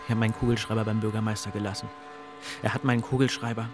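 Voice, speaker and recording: Radio drama part: embittered, short-fused man actor, lowish gravelly voice, terse phrasing. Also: little pitch movement, very fast, close to an everyday voice. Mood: curt, bitter. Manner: terse, volatile. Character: volatile, embittered man, quick to rage. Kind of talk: stylized radio play acting. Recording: studio radio drama, faint music.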